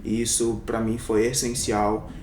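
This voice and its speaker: A man's voice: monotone, unhurried, a little mellow, recorded from a distance. A young adult man from Brazil answering interview questions as a doctor, reflective and hesitant, with many fillers and restarts. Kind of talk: casual interview. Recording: echoey room, somewhat off-mic, faint rumble.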